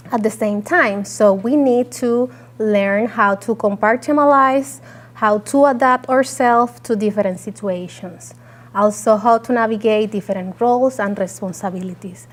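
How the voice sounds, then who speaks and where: A woman from Puerto Rico, a native Spanish speaker with a Puerto Rican Spanish accent, giving an earnest talk with frequent fillers. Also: medium pitch. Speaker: guest speaker. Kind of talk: presentation talk.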